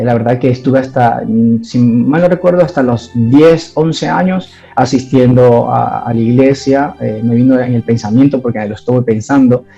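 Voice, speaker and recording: A man's voice: mid-range voice, creaky, dark tone, muffled recording. A man from Ecuador, giving his church testimony in an interview and talking hesitantly, with many fillers and restarts.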